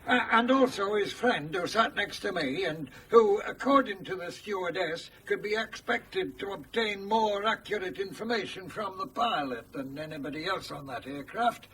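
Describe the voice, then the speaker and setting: A man's voice: high voice, normal speed, few pauses, bright, forceful tone, recorded from a distance, a little hiss or hum, rough recording. A man acting in a radio drama as a self-important, fussy travelling salesman being interviewed, talking garrulously. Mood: fussy, aggrieved.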